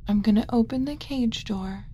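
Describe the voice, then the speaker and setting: A woman voicing a kind, flustered alien mother in a soft-spoken ASMR roleplay, gentle and cooing, often dropping into a whisper. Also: a little high, moderate pace, slight background noise, close to an everyday voice. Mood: tender, cooing. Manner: gentle, cooing, hesitant muttering to herself.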